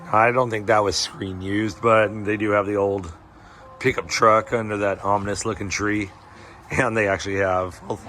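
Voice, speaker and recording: A man losing his voice, chatting excitedly as he films a walking tour outdoors at a roadside barbecue spot. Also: fairly low pitch, heavy background noise. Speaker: vlogger touring a film location. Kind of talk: casual walking tour commentary.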